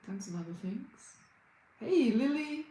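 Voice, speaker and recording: A woman's voice: fairly high pitch, animated, unhurried, warm tone, roomy sound. An Australian woman, a Reiki healer hosting a casual livestream, chatting and greeting viewers by name.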